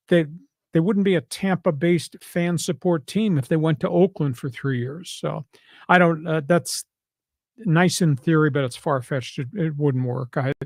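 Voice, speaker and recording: A man's voice: fairly high pitch, no room sound, clean studio sound. An older American man from New York talking sports as a host, with emphatic, folksy, opinionated phrasing. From New York, United States. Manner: emphatic, folksy colloquial turns. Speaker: sports talk show host and analyst.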